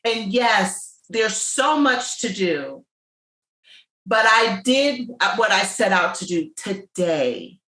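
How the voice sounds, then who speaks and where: A woman's voice: medium pitch, big pitch swings, bright, forceful tone, reverberant, low-quality recording. A woman guest in a podcast interview, speaking in an emphatic, motivational way and drawing out her points. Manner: emphatic and motivational, drawn-out delivery. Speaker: interview guest, business owner. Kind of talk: casual interview chat.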